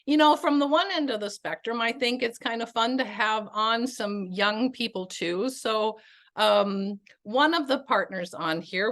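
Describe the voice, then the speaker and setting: A woman's voice: fairly high pitch, fairly bright tone. A woman hosting an interview on a video call, upbeat, chatty and encouraging toward her guests. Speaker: host.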